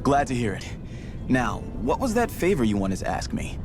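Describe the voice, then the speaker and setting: An actor playing a gruff, plain-spoken, world-weary male resistance leader in a video game cutscene. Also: medium pitch, very animated, moderate pace, lightly acted. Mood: wry, frank. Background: background music.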